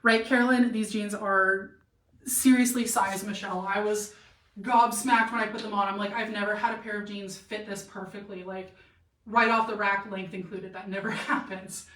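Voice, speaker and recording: A woman's voice: fairly high pitch, fairly bright tone. A woman chatting enthusiastically on a casual live try-on stream, full of fillers as she answers viewers, her voice a bit worn. She sounds like she is in a roomy, echoey shop, standing back from the microphone. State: voice getting tired. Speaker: boutique plus-size model hosting a live try-on stream. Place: clothing boutique. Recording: echoey room, slightly distant mic.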